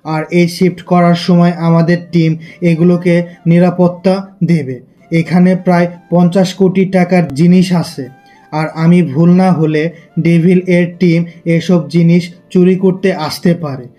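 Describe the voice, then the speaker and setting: A man's voice: sits high, flat delivery, measured pace, mellow, faint music behind. A man playing an authoritative boss who gives formal, instructive briefings in an audio story. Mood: businesslike. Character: authoritative male boss briefing his security team.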